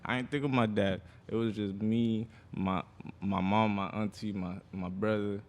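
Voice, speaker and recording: A man's voice: fairly low pitch, fairly even intonation, normal speed. A man from Haiti who moved to Miami, chatting casually as an interview guest, playful and slangy with frequent swearing. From born in Haiti, later moved to Miami. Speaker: interview guest, music artist. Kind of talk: casual chat.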